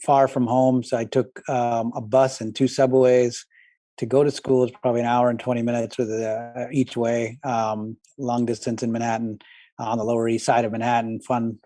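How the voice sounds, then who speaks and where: A middle-aged man talks through his answers reflectively, pausing and restarting often. His delivery stays even and low-key, with a dark, mellow tone. The recording is dry and close. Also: dry recording. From southern India, raised in New York. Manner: reflective, with frequent restarts and fillers. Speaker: guest, tech company founder. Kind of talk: conversational interview.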